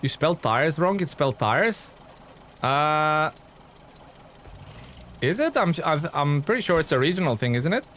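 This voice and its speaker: A man from Lithuania, a game streamer chatting casually with viewers in a relaxed, rambling way. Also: on the high side, unhurried, pauses often, slight background noise. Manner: relaxed, chatty, many fillers and restarts. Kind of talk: casual live-stream chat reading viewer comments.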